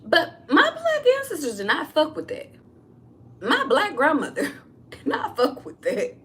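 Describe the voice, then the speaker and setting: A woman's voice: high-pitched, huge pitch swings, a little slow, a bit bright. A woman, a tarot reader, telling a personal story to her viewers in a blunt, candid way, swearing freely and talking straight to the audience.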